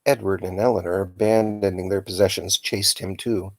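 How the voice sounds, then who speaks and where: Low-voiced man reading a storybook. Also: fairly even intonation, normal speed, dry recording. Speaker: storytime reader. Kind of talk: reading a children's novel aloud.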